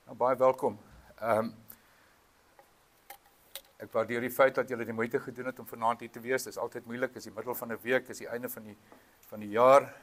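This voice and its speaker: South African man, halting and earnest, addressing a town hall meeting over a PA mic; mid-pitched voice, full of ums. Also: level tone, fairly bright tone. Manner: earnest, halting, with frequent ums, switching between Afrikaans and English. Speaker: community meeting chair. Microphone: PA microphone with feedback.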